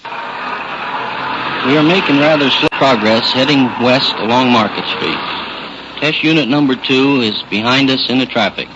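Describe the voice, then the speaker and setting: A man's voice: medium pitch, normal speed. A man giving a matter-of-fact, scripted radio test report as a research supervisor in a moving car. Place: inside a car in city traffic. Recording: muffled old radio, noisy car. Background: vehicle noise.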